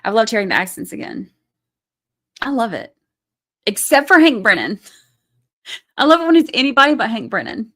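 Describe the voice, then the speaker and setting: A woman's voice: on the high side, sing-song, moderate pace, long pauses, fairly bright tone. A woman hosting a casual livestream, reading viewer comments aloud and reacting to them in a chatty way.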